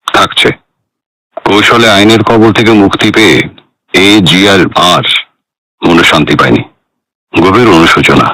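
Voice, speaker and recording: Elderly psychiatrist, radio drama, formal, measured, bright low voice, very dry sound. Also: male voice, no room sound, exaggerated voice, rough recording. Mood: reflective. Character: elderly male psychiatrist and former hunter, composed, principled.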